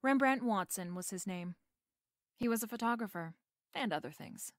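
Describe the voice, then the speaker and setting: A woman's voice: mid-range voice, animated, normal speed, no echo, clean studio sound, natural voice. An actress playing a wisecracking, flirtatious female private eye with a snappy, wry manner in a radio detective drama. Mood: wry narrating. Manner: snappy, wry, hard-boiled. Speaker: lead actress. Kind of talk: remake of 1940s radio detective drama, with narration.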